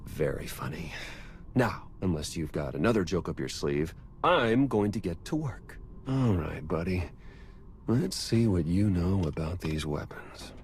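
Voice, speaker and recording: A man's voice: deep voice, animated, measured pace, a little mellow, slight background noise. An actor playing a wry, practical male secret agent in a video game cutscene, speaking in a matter-of-fact way.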